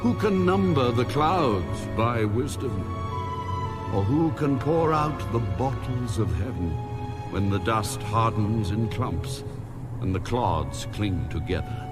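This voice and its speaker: A man narrating scripture in a solemn, dramatic style with measured, deliberate delivery. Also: lowish voice, animated. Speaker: audio Bible narrator. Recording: voice under loud music. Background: loud background music.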